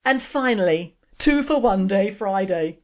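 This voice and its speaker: A woman's voice: medium pitch, expressive, measured pace, faint music behind. A woman, older, hosting a radio show and reading a scripted opinion commentary in an emphatic, indignant way full of rhetorical questions.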